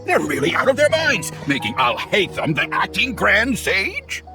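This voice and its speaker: An indignant old academic in a video game. His delivery is pompous and theatrical, swinging widely in pitch, with music playing clearly behind him. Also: wildly swooping pitch, moderate pace, heavily acted. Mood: outraged. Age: older.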